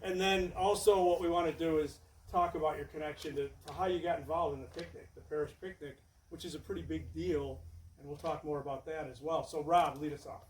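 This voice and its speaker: A male host leads a relaxed interview with a jokey, upbeat manner and a voice that sits a little high. The sound is roomy and echoey, and he is picked up from some way off the mic. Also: brisk, a little hiss or hum. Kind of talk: casual interview. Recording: echoey room, distant mic.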